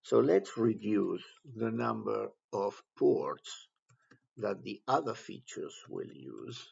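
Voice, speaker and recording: A man with a low, very gravelly voice walks through a software task step by step. He explains in short, halting phrases, as an instructor would. The recording is somewhat dull and lo-fi. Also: very animated. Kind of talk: step-by-step software screen tutorial.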